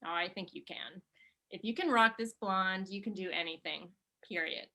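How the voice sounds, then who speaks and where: A woman's voice: medium pitch, moderate pace, bright, forceful tone. A woman playing a blunt but encouraging hairstylist in a screenplay table read, casual and emphatic.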